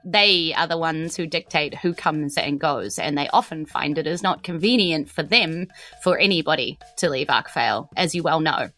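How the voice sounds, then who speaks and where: A New Zealand woman playing an earnest, nervous but determined teenage empress in a tabletop game, speaking haltingly. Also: sits low, bright, forceful tone, faint music behind. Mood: guarded, candid.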